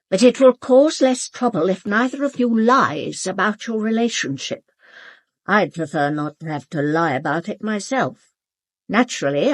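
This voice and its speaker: A woman playing an imperious, sharp-witted elderly aristocratic lady in a British radio drama, with an upper-class English accent and a formal, cutting, controlled delivery. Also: fairly low pitch, dry recording. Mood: measured, warning. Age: older.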